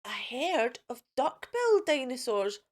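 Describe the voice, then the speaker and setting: A woman's voice: sits high, big pitch swings, measured pace. A woman hosting a children's storytime, reading a picture book aloud in a theatrical, sing-song way and speaking directly to the children.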